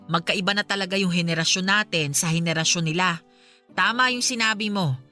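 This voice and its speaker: An apologetic middle-aged Filipino mother in a radio drama. She speaks conversationally in a slightly low voice, contrite and impulsive, over light background music. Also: fairly quick, pushed and bright. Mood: conciliatory.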